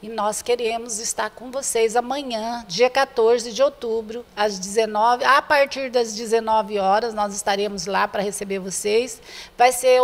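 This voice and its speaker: Older woman, Brazilian accent, fairly high pitch, earnest, repetitive, interview guest, reverberant indoor room. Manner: earnest, repetitive, addresses viewers directly. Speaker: association president, interview guest. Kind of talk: spoken interview inviting the public to a charity event. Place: indoor room with bare walls. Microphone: handheld reporter's microphone held before her.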